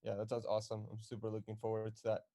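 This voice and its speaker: A man co-hosting an activist live stream, speaking in an energetic, rallying way and introducing guests. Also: lowish voice, racing.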